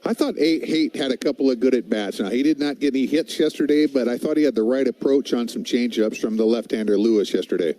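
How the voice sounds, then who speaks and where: An American man giving baseball color commentary in a relaxed, mellow voice of medium pitch. He talks conversationally and haltingly, often doubling back on his words as he explains. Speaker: baseball color commentator, former player and coach.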